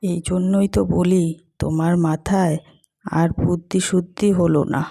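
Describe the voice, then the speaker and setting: A woman voices a crafty village wife in an animated tale. Her voice sits slightly low with a lot of creak, and she talks bossily, as if giving instructions. Faint music runs behind. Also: normal speed. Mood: scheming. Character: bossy, cunning village wife, scheming. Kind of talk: animated story dialogue.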